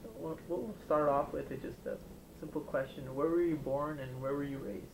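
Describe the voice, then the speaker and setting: A man's voice: on the high side, fairly even intonation, moderate pace, vocal fry. Hesitant male interviewer in a roomy, noisy space. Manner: hesitant, filler-heavy questioning. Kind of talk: oral history interview. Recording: roomy, noisy room recording.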